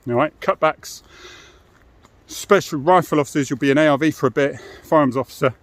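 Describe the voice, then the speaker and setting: A man's voice: fairly high pitch, fairly quick, no room sound. British man, middle-aged, frank and matter-of-fact. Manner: matter-of-fact, frank, with rhetorical tag questions. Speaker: former police firearms officer, presenter. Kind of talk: informal explanatory monologue to camera.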